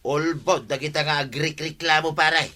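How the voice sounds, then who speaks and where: A man's voice: fairly high pitch, fairly even intonation, normal speed, bright, forceful tone. A man with an Ilocano accent playing a defiant, protesting tricycle driver in a comedy radio drama. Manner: protesting, ends phrases with a familiar address. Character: defiant comic male tricycle driver dodging complaints, pleading and bragging.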